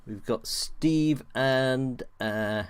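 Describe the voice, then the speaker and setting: A man's voice: mid-range voice, very slow, smooth, no echo. A man hosting a live online audio show, chatting casually and loosely with many ums and restarts as he brings guests on.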